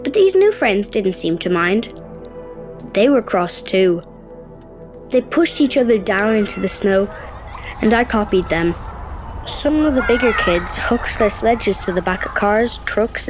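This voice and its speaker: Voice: medium pitch, close to an everyday voice, poor audio. A performer playing a cross, wistful young boy, telling his story in a confiding, reflective way in a children's audio drama. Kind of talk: first-person narration in children's audio drama. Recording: music clearly behind the voice.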